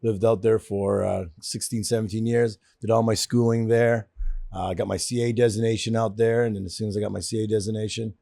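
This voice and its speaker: A Canadian man in his fifties, a company founder telling his story as a guest in a casual interview, animated and quick to laugh. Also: fairly low pitch, vocal fry, no echo, studio quality.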